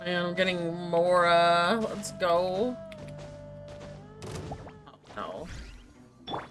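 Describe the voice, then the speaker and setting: Low-voiced woman streaming a game. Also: extremely slow. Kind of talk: casual gameplay commentary, reading dialogue aloud. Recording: game music behind the voice.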